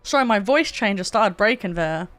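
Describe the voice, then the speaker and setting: A woman's voice: mid-range voice, expressive, moderate pace, fairly bright tone. A woman livestreamer chatting casually while gaming, with a sweary, joking and rambling manner. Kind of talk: casual game stream chat. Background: game music.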